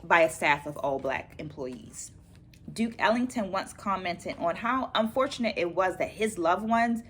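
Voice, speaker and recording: Casting: woman, middle-aged, lowish voice, careful educator delivery for a book vlog, noticeable ambient noise. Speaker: dance educator and vlogger. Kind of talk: book-review vlog, presenting takeaways to viewers. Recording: clear background noise, room ambience.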